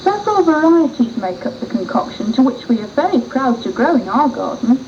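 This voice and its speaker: High, mellow-voiced woman reading a radio story. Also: very animated, fairly quick. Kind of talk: dramatised first-person storytelling, scripted. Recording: muffled 1980s radio tape with hiss.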